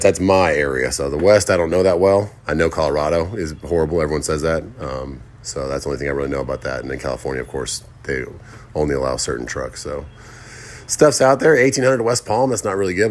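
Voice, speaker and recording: A man in his thirties with a groggy voice, talking casually through a trucking vlog in a loose, rambling way, with a lot of fillers. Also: rumbling low. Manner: rambling, relaxed talk with fillers. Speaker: owner-operator truck driver vlogger. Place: home kitchen and car.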